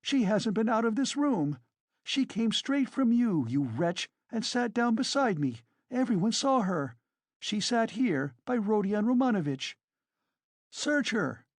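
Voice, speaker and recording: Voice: mid-range voice, big pitch swings, a little slow, a little mellow, dry recording, clean studio sound, voice pushed up. A narrator voicing a frantic, furious, proud woman in a dramatised audiobook, shrieking and exclaiming. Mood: frantic fury. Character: hysterical, furious, proud wasted woman defending a girl.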